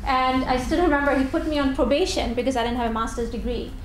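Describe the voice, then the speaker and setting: A woman's voice: sits high, fast talker, slight background noise. A woman in her forties from Bangladesh giving a public talk in a lecture hall, telling stories with many restarts and fillers. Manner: chatty, warm storytelling with many restarts and fillers. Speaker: guest speaker. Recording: echoey room recording.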